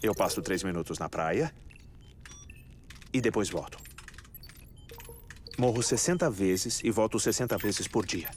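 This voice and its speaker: A man with a Brazilian accent playing an eccentric, courteous, grieving scientist in a video game dub, speaking in a measured, articulate way. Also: fairly low pitch, animated, a little mellow, slight background noise. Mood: matter-of-fact. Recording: music under the voice.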